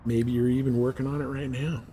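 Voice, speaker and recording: Guy streamer just chatting while he plays, mid pitch, bit of game noise behind. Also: level tone, brisk, dark tone. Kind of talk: casual chat over gameplay.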